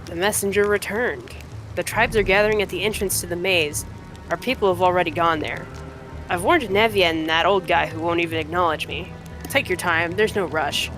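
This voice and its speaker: A woman voicing a frank, earnest young horned male fighter in a fantasy game, in a measured, conversational way. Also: on the low side, music in the background, close to an everyday voice. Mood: wry, matter-of-fact. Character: earnest young horned mongrel man, frank, wry cave-dwelling fighter.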